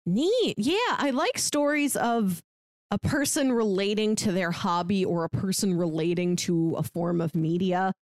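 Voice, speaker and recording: A woman's voice: medium pitch, measured pace, a little mellow, no echo, clean studio sound. A woman hosting a podcast in a casual, chatty style. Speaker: podcast host.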